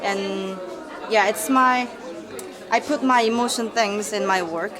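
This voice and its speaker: A woman's voice: mid-range voice, takes it slowly, music in the background. A woman from South Korea with a Korean accent, presenting her photography portfolio, searching for words as she goes. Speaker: photography student. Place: student exhibition. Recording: busy crowd around. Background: crowd chatter.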